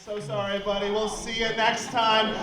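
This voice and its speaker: A man emceeing a comedy show, announcing acts loudly and calling crowd votes. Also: high-pitched, level tone, moderate pace, very noisy. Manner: loud, hype announcing. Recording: roomy hall, distant mic, loud crowd.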